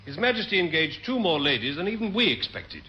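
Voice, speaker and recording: An actor playing a dry, urbane government official in a radio sitcom, on a muffled, noisy old recording. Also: male voice, on the high side, big pitch swings, a little fast. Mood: dryly amused.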